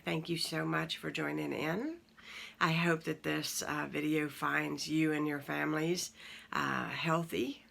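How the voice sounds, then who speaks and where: A woman in her forties, hosting her own channel, talking casually to viewers from home in a croaky morning voice, rambling with many pauses and fillers. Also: deep voice. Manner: warm, rambling, many ums. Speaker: channel host. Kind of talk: casual talk to camera.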